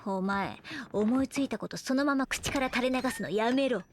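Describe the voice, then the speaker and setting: A woman voicing a grumpy, sharp-tongued scientist in a Japanese audio drama, with blunt, rough scolding retorts. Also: on the high side, moderate pace, a little hiss or hum, close to an everyday voice. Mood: irritated.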